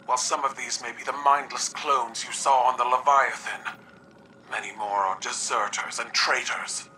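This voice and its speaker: An actor playing a proud, grave veteran warrior ruler in a video game, speaking formally and deliberately. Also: male voice, medium pitch, fairly even intonation, sharp tone, distant mic, slight background noise, low-quality recording. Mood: grave, troubled. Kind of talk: dramatic video game acting.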